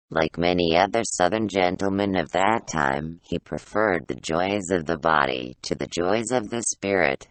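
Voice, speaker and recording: Very deep, cranky ancient baby creature. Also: male voice, fairly bright tone, no echo, rough recording. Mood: storytelling. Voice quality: gravelly, rusty voice. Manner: grumbling, folksy, wheedling complaints. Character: cranky, whiskey-craving ancient old man in a baby's body. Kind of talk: audio play character acting.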